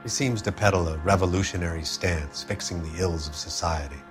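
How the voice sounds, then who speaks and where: A man's voice: low voice, brisk, over music, natural voice. An actor playing a downbeat, world-weary man in a 1940s crime game, talking plainly and with restraint. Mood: wistful, subdued. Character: downbeat, world-weary male friend of a murdered woman.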